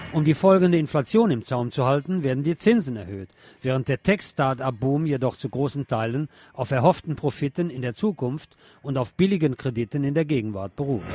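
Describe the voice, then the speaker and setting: A man's voice: mid-range voice, darkish tone. A man reads scripted political commentary as a radio presenter. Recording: music playing behind the voice. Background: music.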